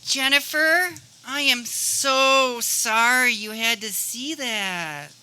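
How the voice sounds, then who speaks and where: A woman acts an apologetic, exasperated office worker in a radio comedy. The voice is fairly high and very bright, with earnest, unhurried delivery. A faint ambience surrounds it. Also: slight background noise, full character voice. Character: exasperated, apologetic female office boss or colleague. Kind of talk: comedic radio play acting.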